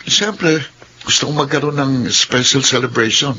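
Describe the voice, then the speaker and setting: A man's voice: medium pitch, normal speed, bright, forceful tone, breathy edge, highs cut off. A man playing a jealous, lovelorn and easily exasperated young man in a radio drama. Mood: sulky.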